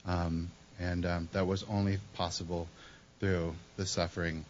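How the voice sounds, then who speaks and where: Soft-spoken, hesitant man, very low and monotone, lo-fi recording. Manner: soft-spoken, slow and hesitant with many ums. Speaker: recovery meeting speaker. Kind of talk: reflective spiritual share. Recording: dull lo-fi recording with faint noise.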